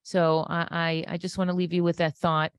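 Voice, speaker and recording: A woman's voice: fairly low pitch, level tone, normal speed, clean tone, no room sound. A woman speaking off the cuff in an earnest, emphatic religious inspirational talk, repeating phrases for emphasis. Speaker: interview guest, inspirational speaker.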